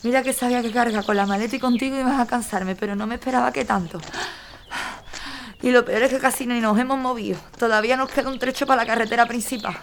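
A woman's voice: fairly high pitch, fairly even intonation, quick pace, slight background noise, close to an everyday voice. An actress playing a tired young mother fleeing war with her newborn in a radio drama, tender yet firm. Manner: tender to baby, firm and frightened.

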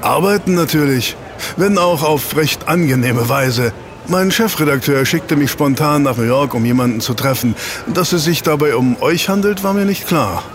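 Man doing chummy teasing reporter, voice a bit high, audio drama, noisy ambience behind. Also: animated, normal speed, lightly acted. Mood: jovial, surprised. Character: jovial, suave, curious male newspaper reporter. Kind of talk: German audio drama acting. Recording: clear ambient noise behind.

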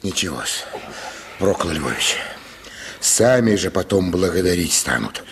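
An actor playing a stern, rough barber in a radio play, commanding, folksy and threatening. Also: male voice, low voice, very animated, a little slow, slight background noise, exaggerated voice. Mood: respectful, firm. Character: stern, rough male barber, menacing and righteous.

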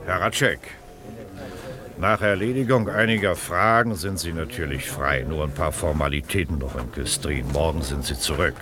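An actor playing a formal, reasonable court counsellor with a commanding manner in a German radio drama. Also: male voice, extremely low pitch, normal speed, a crowd in the background. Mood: reassuring. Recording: noisy background ambience.

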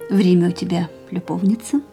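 A woman's voice: lowish voice, fairly even intonation, brisk, vocal fry, warm tone. An actress playing a restless, dreamy, haunted young woman in an intimate radio drama. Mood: wistful, probing. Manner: introspective, literary, dramatic delivery. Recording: radio play with music underneath. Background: music.

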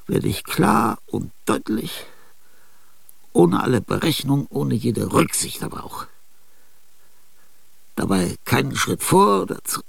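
An actor in a radio play voicing a weary, bitter aging composer, talking in rambling fragments with murmured asides and sudden outbursts, husky and gravelly. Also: male voice, a little high, no echo, exaggerated voice. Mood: resolute. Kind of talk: radio drama monologue. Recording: dry studio radio play.

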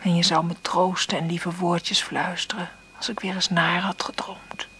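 An actress in a Dutch radio play playing a weary, struggling mother, husky and intimate. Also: on the low side, flat delivery, normal speed, slight background noise. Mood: wistful. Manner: intimate murmured monologue, gentle with her child. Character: weary, struggling mother confiding in her diary.

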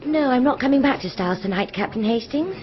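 An actress playing a brisk, forthright, well-spoken young English woman in a radio drama. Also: sits high, lively intonation, dull audio, very noisy. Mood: friendly.

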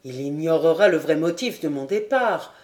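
A woman's voice: low-pitched, expressive, moderate pace, darkish tone. A woman voicing an earnest, resolute young nobleman who declares his feelings in a storybook reading.

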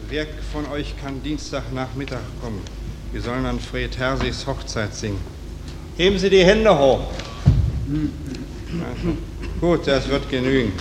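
An actor playing a brisk, commanding small-town church choirmaster in a radio drama. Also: male voice, mid-range voice, natural voice. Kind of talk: German stage-play radio drama acting. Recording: echoey hall, clearly noisy.

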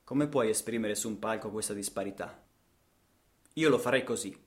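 A man's voice: on the low side, moderate pace. Man, theatrical storytelling. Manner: dramatic, rhythmic delivery with repetitions and rhetorical questions. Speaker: actor and storyteller. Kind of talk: theatrical monologue for radio theatre.

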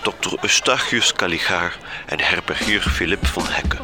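A man's voice: sits low, moderate pace, music in the background, lightly acted. A man with a Flemish accent playing a wry storyteller, narrating a scripted gothic comedy radio drama.

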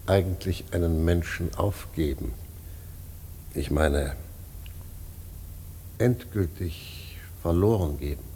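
Grave, very deep-voiced prison director. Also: male voice, gravelly, dark tone, full character voice. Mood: troubled, pensive. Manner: measured, deliberate, pensive. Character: grave, weary male prison director, troubled authority. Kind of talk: German radio play acting. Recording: long hall reverb, faint hiss.

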